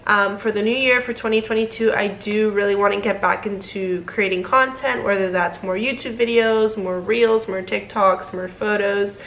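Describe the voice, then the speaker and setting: A woman's voice: medium pitch, steady tone, normal speed, few pauses, fairly bright tone, echoey room, a little hiss or hum. Stuffy-nosed young woman vlogging. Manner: chatty, filler-heavy, self-correcting. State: stuffy, getting over illness. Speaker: flight attendant and vlogger. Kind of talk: casual talk to camera.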